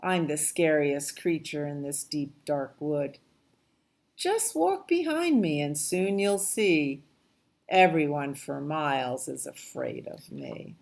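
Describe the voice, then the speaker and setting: Storybook mouse, female reader: tiny, cocky trickster, sing-song and emphatic, unhurried. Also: sits low, very animated, vocal fry, a little mellow, full character voice. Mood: boastful, bold. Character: small bold trickster mouse, cheerfully boastful.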